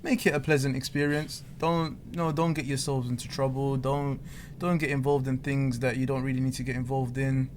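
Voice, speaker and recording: A man's voice: medium pitch, flat delivery, normal speed, few pauses, darkish tone, slight background noise. A man co-hosting a casual chat, giving earnest advice to trainee teachers and often repeating words as he thinks. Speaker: podcast co-host.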